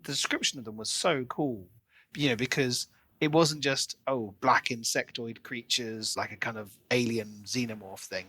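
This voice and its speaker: Male podcast co-host, expressive and enthusiastic, bright tone, mid-pitched, casual discussion, dry recording. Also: normal speed, breathy edge, no echo. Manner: enthusiastic, expressive, acts out quoted lines.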